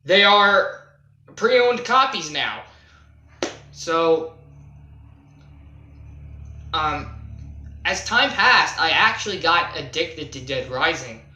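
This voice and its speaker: A young man telling a casual, unscripted gaming story in a halting, self-deprecating way, stressing key words as he goes. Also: a little high, lively intonation, pushed and bright. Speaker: video creator telling a personal gaming story. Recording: roomy, echoey home recording.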